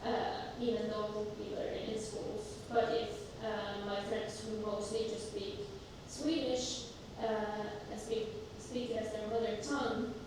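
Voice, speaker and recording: A woman with a Finnish accent, a native Finnish speaker, moderating a seminar and talking slowly and hesitantly with many fillers. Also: mid-range voice, steady tone. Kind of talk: personal talk at a seminar. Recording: echoey hall, mic far off, noisy.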